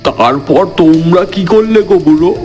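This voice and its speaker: Man as a curious, higher-voiced young listener. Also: animated, moderate pace, a little mellow, faint music behind, natural voice. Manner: prodding, questioning. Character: curious young male listener to a ghost tale.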